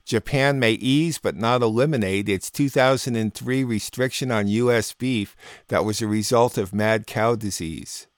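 American male host for scripted radio news review; medium pitch, no room sound. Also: normal speed, few pauses, no echo, clean studio sound. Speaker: radio show host and producer.

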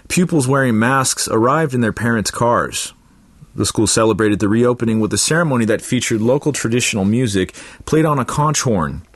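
A man's voice: mid-range voice, no echo. A man reading a scripted international news report for radio as a news reader.

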